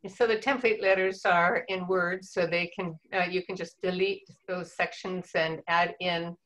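Slightly low-voiced woman, hesitant phrasing. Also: steady tone, unhurried, fairly bright tone, low-quality recording. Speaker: meeting participant asking questions. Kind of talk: informal meeting discussion. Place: indoors on a group video call.

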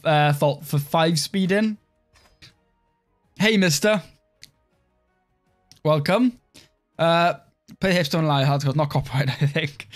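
A young man talks through a live strategy-game stream in a relaxed, rambling way, answering chat as he plays. His voice sits a little high for a man. Soft music plays faintly behind him. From Wales. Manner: relaxed, rambling, heavy use of "like". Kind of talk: live-stream gameplay commentary, reading chat.

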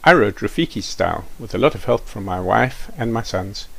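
A middle-aged man reading a children's storybook aloud, adding gentle questioning asides for young listeners. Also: lowish voice, lively intonation, moderate pace, creaky, low-quality recording. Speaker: children's author reading his book.

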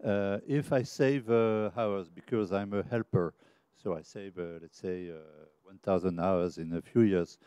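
A man in a conference audience asking a long question, hesitant with many pauses and restarts. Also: deep voice, steady tone, dark tone, smooth, dry recording.

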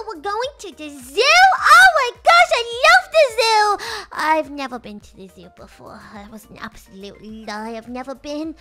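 A woman playing a game as an excitable little kid on a school trip, gushing and narrating everything she sees. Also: very high voice.

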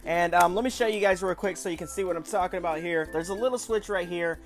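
A man's voice: high voice, fast. A man in his twenties hosting a casual toy review, chatty and enthusiastic in an informal way. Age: 20s. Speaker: toy review show host. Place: small room at home.

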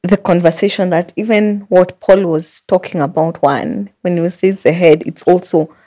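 A woman's voice: fairly low pitch, fairly even intonation, normal speed, warm tone. Kenyan woman reflecting aloud in conversation. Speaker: podcast guest, public theologian. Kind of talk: casual podcast conversation. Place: small indoor podcast studio. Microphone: close boom-arm studio microphone.

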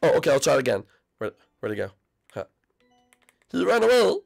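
A woman's voice: deep voice, very animated, fairly quick, creaky, no echo, clean studio sound, soft background music, exaggerated voice. A woman voicing a nervous, lonely young boy prince who stammers and hesitates. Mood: awkward.

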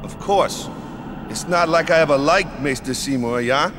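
An actor playing a stubborn, devout, hot-headed young athlete in a video game, talking colloquially with little tag questions. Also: male voice, fairly high pitch, moderate pace, background noise, natural voice. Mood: indignant. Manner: colloquial, tags sentences with yeah, you know.

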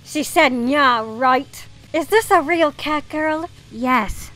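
A woman's voice: sits high, takes it slowly, pushed and bright, soft background music, low-quality recording. A woman hosting a let's play, reading a visual novel aloud and chatting casually with asides on the script.

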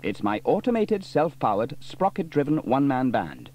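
A man's voice: medium pitch, sing-song, brisk, darkish tone, pitched above the performer's own voice. A man doing a hearty, cheerful fireman. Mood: cheery. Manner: hearty and proud. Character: cheerful inventive village fireman.

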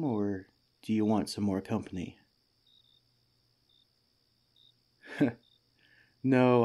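A man playing a kindly, patient head teacher in a gentle, soothing ASMR comfort roleplay. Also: fairly low pitch. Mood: soft, caring.

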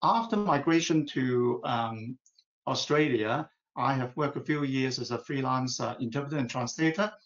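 Older Hong Kong-accented man, formal and measured, roomy dull call audio. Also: medium pitch. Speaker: retired government translator. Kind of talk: formal self-introduction on a video call.

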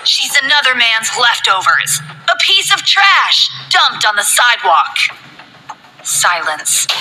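A woman narrating a romance novel as an audiobook in a dramatic, expressive way. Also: medium pitch, a little hiss or hum, low-quality recording. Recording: faint background music.

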